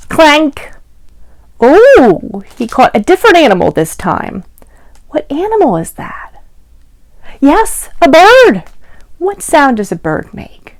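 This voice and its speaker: Animated, slow-paced woman reading to children. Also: fairly high pitch, no echo. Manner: sing-song, expressive, asks questions and pauses for answers. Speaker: library storytime reader. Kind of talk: interactive children's read-aloud.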